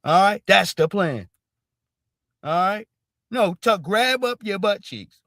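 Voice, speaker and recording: A man's voice: sits high, expressive, slow, a bit bright, no room sound, clean studio sound. A man hosting a stream, ranting in emphatic commentary and repeating "all right?" after nearly every phrase. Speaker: streamer host.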